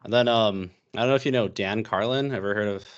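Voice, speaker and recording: A man's voice: lowish voice, fast talker, muffled recording. A young American man talking casually as a podcast guest, rambling with lots of fillers and restarts. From United States. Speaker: podcast guest, history YouTuber.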